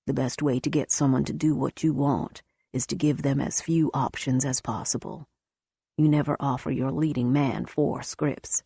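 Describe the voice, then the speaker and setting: A scheming older father with a rough, gravelly and breathy voice, pitched low and dark. He talks in a smooth, knowing, lecturing way, as a woman narrator puts him on. His tone stays calm and sure of himself. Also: normal speed, no echo, full character voice.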